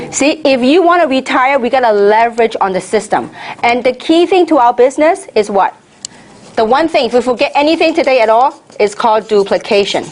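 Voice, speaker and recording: High-voiced lady pumping up a training room, lots of questions to the crowd. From Hong Kong. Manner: energetic, rhetorical questions to the audience. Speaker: network marketing leader giving training. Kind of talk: motivational training talk. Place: meeting room with an audience.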